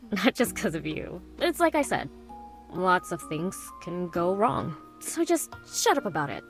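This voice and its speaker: Gravelly, tough teenage girl. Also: on the low side, very animated, slow, pauses often, faint music behind, heavily acted, poor audio. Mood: hesitant, guarded. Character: tough, guarded teenage girl with a kind streak. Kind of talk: visual novel voice acting.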